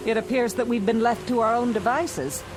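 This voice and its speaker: A woman's voice: fairly high pitch, moderate pace, over music, close to an everyday voice. An actress playing a composed, curious lady schoolteacher with a wry, articulate manner in a radio drama.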